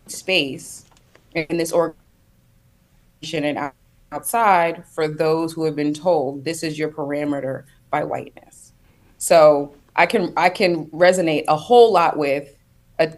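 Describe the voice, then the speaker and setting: A woman speaking as a panelist on a video call, thoughtful and reflective, building her points in loose phrases. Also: deep voice. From United States. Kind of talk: panel discussion.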